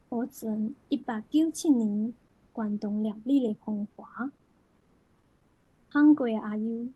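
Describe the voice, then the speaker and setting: A woman with a Taiwanese accent recites literature expressively. She takes it very slowly, in a fairly high voice with a mellow, husky grain. The sound is close and dry. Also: no room sound, poor audio. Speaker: poetry reader.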